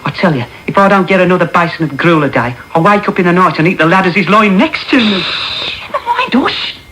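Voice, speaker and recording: Woman acting a bossy, animated, hungry orphan boy. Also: on the low side, fast talker. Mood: grumbling, desperate. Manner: colloquial, grumbling, bossy. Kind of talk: period radio drama acting. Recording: noisy off-air radio recording.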